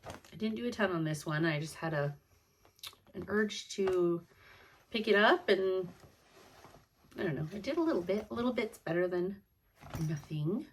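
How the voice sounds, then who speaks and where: A woman's voice: mid-range voice, animated, normal speed, pauses often, slight background noise. Congested woman chatting about stitching. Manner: chatty, apologetic, rambling with fillers. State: congested, stuffed up. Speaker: cross-stitch vlogger. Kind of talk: casual chat.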